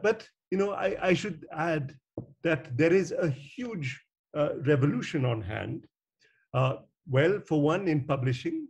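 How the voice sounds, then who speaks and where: A man moderating a virtual panel, introducing speakers in a halting, searching manner over a video call. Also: a little high, a little mellow. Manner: halting, searching for words, frequent hesitations. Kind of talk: semi-formal spoken introductions at a virtual meeting. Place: indoor room on a video call. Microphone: video call microphone.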